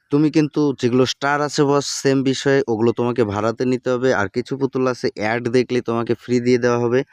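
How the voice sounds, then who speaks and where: A man's voice: medium pitch, darkish tone, clear, resonant tone, dry recording. A man narrating an informal video tutorial, addressing viewers familiarly and often repeating himself and his catchphrases. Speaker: tutorial presenter.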